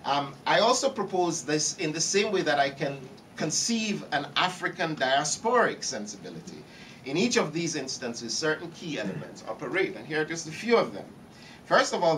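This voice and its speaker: A man's voice: on the high side, a bit bright. Brief: middle-aged male keynote speaker, partly read academic lecture, podium mic a bit distant, faint hum in the hall. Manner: gestures broadly, repeats words while reaching for phrasing. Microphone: podium microphone. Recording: slightly distant, faint room ambience.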